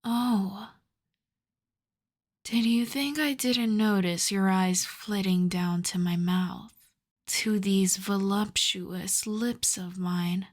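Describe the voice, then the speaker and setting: A seductive vampire countess played by a woman speaks in an intimate ASMR roleplay, drawing her words out very slowly. She slips between soft voice and half-whisper, teasing and possessive. Her commands come wrapped in endearments, with playful menace underneath. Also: mid-range voice, fairly even intonation.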